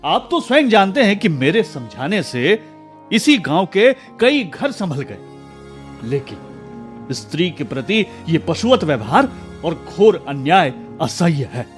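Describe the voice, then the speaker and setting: An educated elder brother in a radio play, principled and formal. His voice is quite high and his delivery slow and measured, with faint music behind. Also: very animated. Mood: grave protest. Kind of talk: Hindi radio drama acting.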